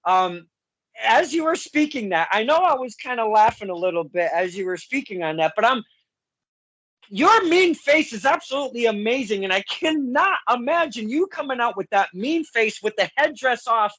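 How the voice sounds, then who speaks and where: Chatty laughing podcast host, dry sound. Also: male voice, very high-pitched, very animated, normal speed, fairly bright tone, no room sound. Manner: laughs a lot, chatty asides to listeners. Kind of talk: casual interview.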